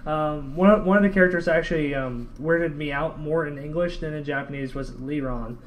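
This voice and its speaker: A man's voice: on the high side, a little fast, low-quality recording. A man hosting a casual podcast interview, chatty and a bit hesitant, talking like a keen fan. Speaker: podcast host.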